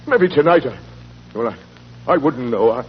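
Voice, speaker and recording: A man's voice: mid-range voice, animated, measured pace, a little hiss or hum, natural voice. An actor playing a flustered, grieving, deferential working-class servant in 1940s radio style, stammering as he talks. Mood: nervous, evasive. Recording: dull, lo-fi 1940s radio recording.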